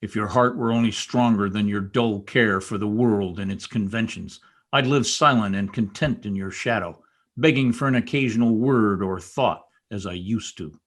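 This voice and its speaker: An actor playing a brooding, bitter, vengeful spurned lover in an old-time radio drama, speaking formally and intensely. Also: male voice, lowish voice, normal speed, natural voice. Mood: bitter, accusing. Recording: remote video-call recording.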